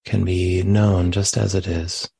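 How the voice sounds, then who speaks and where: A man leading a guided meditation as a teacher, speaking gently in short phrases with long, unhurried pauses. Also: low-pitched, flat delivery, vocal fry, breathy edge, no room sound. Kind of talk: guided meditation, spoken live.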